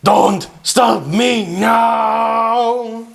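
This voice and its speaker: Man doing a high, excitable revolutionary puppet. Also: extremely slow, vocal fry, heavily acted. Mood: outraged. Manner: melodramatic, shouty. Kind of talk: amateur puppet show dialogue.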